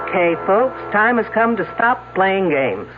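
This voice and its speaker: An actress playing a brisk, wisecracking woman detective in a radio drama, on a tinny old recording. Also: mid-range voice, sing-song, over music. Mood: businesslike, commanding. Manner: wry, commanding. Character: brisk, wisecracking, no-nonsense female police detective.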